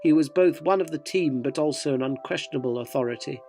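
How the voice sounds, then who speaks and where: Inquisitive reporter-narrator male actor for radio drama: earnest, probing, dryly descriptive, slightly high voice, music underneath. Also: quick pace, warm tone, lightly acted.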